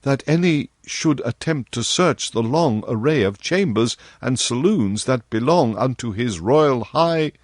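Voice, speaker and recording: Royal courtier voiced by a man, deferential and uneasy, careful formal phrasing at a very slow pace. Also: mid-range voice, animated, dry recording, studio quality. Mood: troubled. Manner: formal, pleading archaic speech. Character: deferential nobleman courtier addressing his king, anxious and humble.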